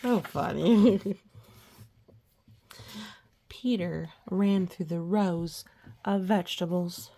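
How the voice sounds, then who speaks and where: A woman's voice: fairly low pitch, extremely slow, pauses often, mellow. A woman reading a children's picture book aloud as a storyteller, with chatty asides and childlike enthusiasm.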